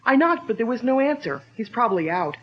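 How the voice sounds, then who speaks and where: A woman's voice: on the high side, very animated, a little fast, a little hiss or hum. An actress playing an earnest, brave young woman on the trail of her father's killer, in a 1940s-style radio drama. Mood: earnest, urgent. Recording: dull lo-fi old radio recording.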